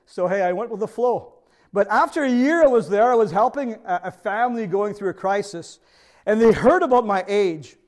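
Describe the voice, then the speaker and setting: A man's voice: high-pitched, normal speed. A middle-aged man with a French accent, whose first language is French, preaching a sermon as pastor. He speaks earnestly and often turns to the congregation with questions.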